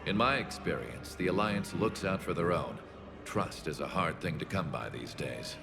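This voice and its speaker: An actor playing a wary middle-aged military leader, speaking in a measured negotiating tone in a game cutscene. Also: male voice, deep voice, animated, vocal fry, music in the background. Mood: guarded. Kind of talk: video game cutscene acting.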